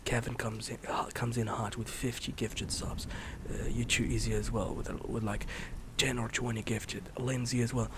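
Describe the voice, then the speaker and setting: A man in his late twenties, a streamer rambling in a soft-spoken, candid way, swearing casually and slipping into whispers. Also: mid-range voice, little pitch movement, normal speed, few pauses, background noise. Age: 20s. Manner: candid, swears casually, many fillers and restarts; drifts between soft speech and whisper.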